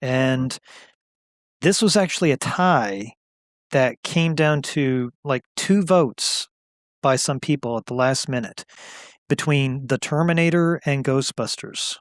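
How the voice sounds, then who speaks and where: A man's voice: medium pitch, takes it slowly, no room sound, clean studio sound. A man co-hosting a casual movie chat, easygoing as he runs through years and lists of films. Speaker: co-host.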